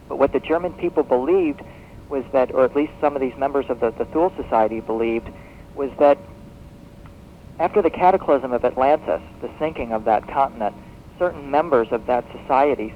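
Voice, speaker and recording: A man speaking as a guest expert in a radio interview, explaining in a halting, expository way and repeating words as he goes. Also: mid-range voice, narrow, tinny audio. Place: radio broadcast, taped off air. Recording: thin, noisy shortwave radio broadcast on cassette.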